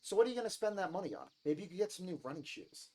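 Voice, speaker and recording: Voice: mid-range voice, expressive, racing, darkish tone, a little hiss or hum, close to an everyday voice. A performer voicing a casual, teasing, kind-hearted teenage girl in a visual novel reading. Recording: music behind the voice.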